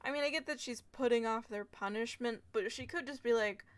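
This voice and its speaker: A woman's voice: on the high side, a little fast. A young adult woman streaming a game, making casual, sarcastic and exasperated comments.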